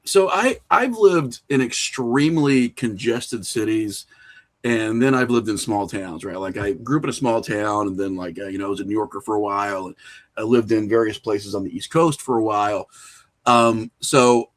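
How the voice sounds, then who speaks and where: A middle-aged American man telling stories casually as an interview guest, animated and often acting out imagined lines of dialogue. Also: lowish voice, moderate pace. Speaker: podcast guest, independent filmmaker.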